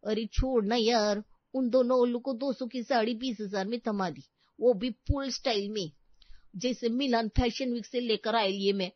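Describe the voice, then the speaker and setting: Cheeky, scheming housemaid for a cartoon story, female actor, high-pitched, dry muffled recording. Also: steady tone, moderate pace, no room sound, natural voice. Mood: gloating. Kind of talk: cartoon moral story dialogue.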